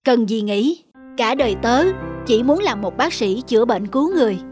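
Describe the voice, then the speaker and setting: A man's voice: very high-pitched, expressive, normal speed, soft background music. A man voicing a dedicated, modest doctor in a cartoon, earnest and apologetic but unbending. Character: dedicated, modest male hospital doctor, gently stubborn. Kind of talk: cartoon dub.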